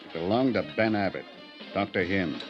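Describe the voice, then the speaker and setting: An actor playing a surly, evasive office manager, curt and hostile, in a vintage radio drama. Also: male voice, low-pitched, animated, normal speed, over music. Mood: angry. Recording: dull vintage radio recording.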